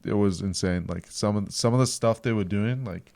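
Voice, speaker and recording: A man's voice: on the low side, normal speed, vocal fry, darkish tone, no echo. Hesitant young man. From South Africa. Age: young adult. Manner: hesitant, many ums and restarts. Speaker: guest. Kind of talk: casual podcast chat.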